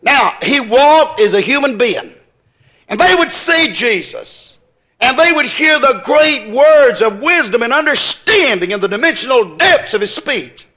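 A man's voice: very high voice, sing-song, unhurried, low-quality recording. A man preaching an impassioned sermon in an emphatic, repetitive, folksy way, acting out dialogue as he goes. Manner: emphatic, repetitive, building phrases into shouts; folksy, colloquial phrasing.

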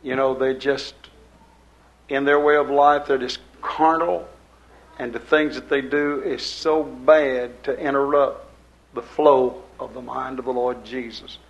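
A man's voice: mid-range voice, steady tone, a little slow, vocal fry, highs cut off. An older man preaching a sermon to his congregation in a folksy, rambling way, repeating his phrases. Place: church service before a congregation.

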